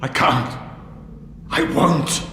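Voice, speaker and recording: A man's voice: drawn-out words, background noise. A man playing a desperate, poisoned victim in a video game, pleading in a pained, strained voice. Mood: desperate, frightened. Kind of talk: video game cutscene acting. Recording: long echoing hall reverb, music behind.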